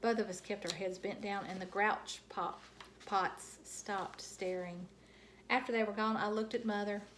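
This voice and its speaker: A woman reading a children's book aloud in an expressive, animated storytelling style with dramatic emphasis. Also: medium pitch, measured pace, slight background noise, low-quality recording.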